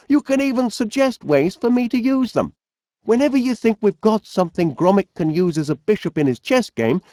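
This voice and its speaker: A man's voice: high voice, darkish tone, dry recording, clean studio sound. A man voicing a cheerful, fussy middle-aged inventor with a Northern English accent in a video game, genial and bumbling. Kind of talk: animated video game character voice lines.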